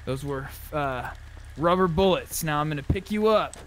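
A man with a high voice talks through an online roleplay game. He banters and acts tough, swearing casually. There is light game noise behind him. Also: expressive, moderate pace.

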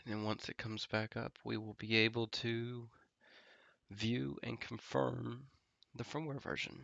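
A stuffy, nasal man with a cold, talking in a low, gravelly voice that stays fairly flat. He walks through a networking tutorial in a relaxed way, full of ums and small pauses. The sound is dry and a bit dull, like a desktop mic in a home office. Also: no echo. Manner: relaxed, filler-heavy explaining while typing commands. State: congested from a head cold. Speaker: network engineer tutorial host. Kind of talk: casual screen-recorded tech tutorial.

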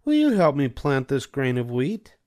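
A busy, determined little female hen from a children's storybook, acted by an older man. The voice is lifted in pitch, earnest and deliberate, with lively swings. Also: no room sound, studio quality.

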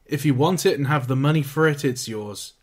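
A man voicing a gruff, blunt scrapyard foreman. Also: mid-range voice, brisk, close to an everyday voice. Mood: offhand blunt. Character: blunt working-class male scrapyard foreman.

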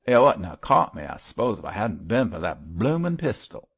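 A man voicing a surly, defiant Cockney murderer in an audiobook, gruff and rough-spoken. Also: on the low side, very animated, normal speed, a bit bright, lo-fi sound, heavily acted. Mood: grudging regret. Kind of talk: audiobook character voice.

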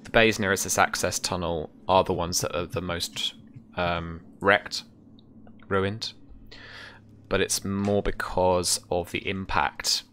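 A young man working as game master, narrating a tabletop scene in a casual, halting, descriptive way. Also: sits low, level tone, pushed and bright, slight background noise. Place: indoor room, remote session. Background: music.

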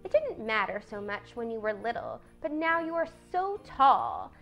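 Storybook elder sister, teenage girl woman acting: prim, earnest, animated, music behind. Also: fairly high pitch, measured pace, lo-fi sound, heavily acted. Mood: scolding. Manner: earnest, lecturing.

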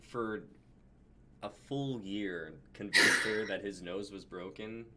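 A man's voice: lowish voice, takes it slowly, bright, forceful tone. A man in his twenties chatting casually with a group on a video-call livestream. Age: 20s. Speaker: member of a script-reading group. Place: indoors at home, video call. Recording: noisy video call audio.

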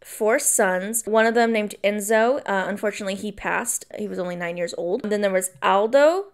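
A young woman talks into a desk mic in her bedroom. Her voice sits at a middle pitch and sounds smooth and clear. She rambles through the story with ums, side remarks and the odd curse. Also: normal speed. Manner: rambling, casual, swears freely, frequent ums and asides. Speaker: film vlogger. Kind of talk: casual film review and history rundown.

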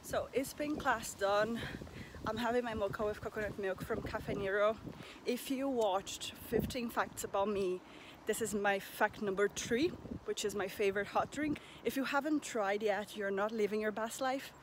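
A woman's voice: medium pitch, moderate pace, noisy background. A woman in her thirties chatting casually to camera for her vlog, bubbly and laughing often. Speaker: vlogger. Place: small room at home, indoors. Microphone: camera held at arm's length.

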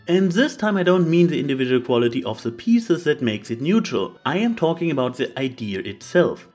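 A man's voice: mid-range voice, breathy edge. A man hosting a YouTube video, delivering scripted opinion commentary. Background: music.

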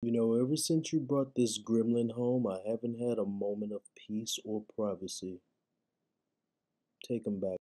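Comic pet voiceover: man as grumbling, mock-tough little animal, mellow dark tone, slangy. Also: lowish voice, steady tone, normal speed, natural voice.

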